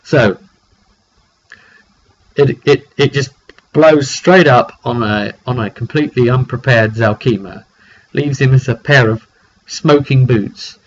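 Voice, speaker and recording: A man recounting a tabletop fantasy roleplay session as game master, casual and improvised, in a halting, informal way with some throat clearing. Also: medium pitch. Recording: dull lo-fi audio with faint noise.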